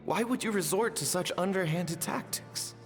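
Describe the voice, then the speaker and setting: An actor playing an earnest, wounded younger brother in a video game, reproachful and intense. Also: male voice, high voice, moderate pace, natural voice. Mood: hurt, accusing. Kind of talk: dramatic game dialogue. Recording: long reverb, music underneath.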